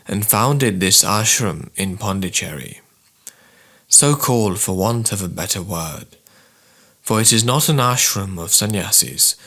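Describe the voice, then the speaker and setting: A man narrates a prepared text in a fairly low voice with plenty of gravelly creak. His delivery is formal and measured, with deliberate phrasing, like an audiobook of spiritual writings. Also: a bit bright. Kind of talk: scripted narration, audiobook-style reading of spiritual texts.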